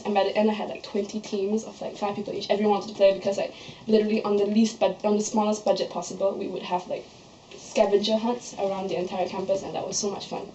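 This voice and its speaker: A woman's voice: medium pitch, warm tone, slight background noise. A young woman from Malaysia, a student standing for a society post, talking through her nomination speech and answering questions in a chatty way full of fillers. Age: young adult. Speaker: candidate for student society office. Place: student society meeting room. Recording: echoey room, dull lo-fi sound.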